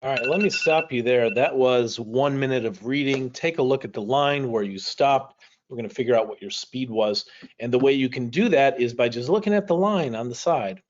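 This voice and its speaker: A man's voice: mid-range voice, moderate pace, a little hiss or hum. A man whose first language is Polish, teaching a livestream class in a casual, conversational way, speaking directly to viewers. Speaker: speed reading instructor. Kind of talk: livestream lesson, casual explaining. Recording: dull, lo-fi livestream audio.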